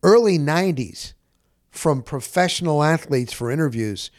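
A middle-aged man with a fairly high-set voice, recorded dry without room sound. He tells stories like an off-duty sportscaster, rambling and candid. His delivery is animated and salty, and he repeats phrases to drive them home. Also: moderate pace, no echo. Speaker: sportscaster and podcast host. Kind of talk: casual rambling storytelling.

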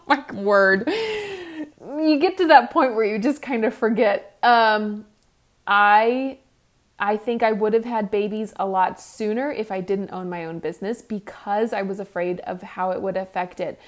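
A woman's voice: medium pitch, unhurried. A woman in her thirties hosting a solo podcast, answering listener questions in a candid, chatty way with frequent restarts and self-deprecating asides. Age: 30s. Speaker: podcast host.

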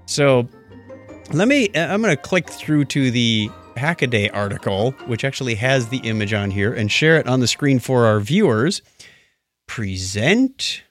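A middle-aged or older man hosting a casual tech talk show, chatting conversationally and thinking out loud as he goes. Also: mid-range voice, animated, measured pace, no echo, soft background music. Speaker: podcast host.

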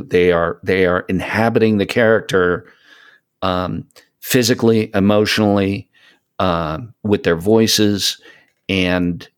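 A man in a casual podcast conversation, speaking emphatically and repeating phrases to stress his point. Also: lowish voice. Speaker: podcast guest, hobby voice actor.

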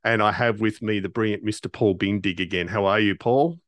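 A man's voice: lowish voice, a little fast, a bit bright, no echo. A man hosting a podcast, giving an enthusiastic spoken introduction. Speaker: podcast host.

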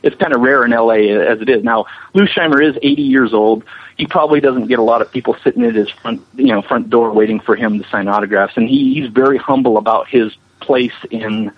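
A man's voice: mid-range voice, a little fast, breathy edge. A man being interviewed, telling anecdotes in a chatty, enthusiastic, casual way. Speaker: visual effects animator being interviewed. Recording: muffled band-limited radio-like line.

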